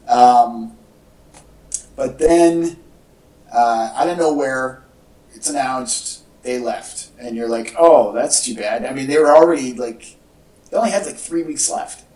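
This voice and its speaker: A man talking casually as a podcast co-host, in a halting, conversational way. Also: medium pitch, a little mellow. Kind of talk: casual chat about movie news. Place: indoor room with echo. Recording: echoey room, distant from mic.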